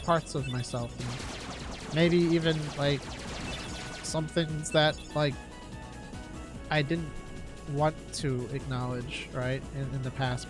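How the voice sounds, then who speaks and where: A young man streaming a game, talking in a halting, reflective way with lots of fillers, his nose stuffed up from congestion. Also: on the high side. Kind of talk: casual rambling stream chat. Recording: game music loud behind voice. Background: game music.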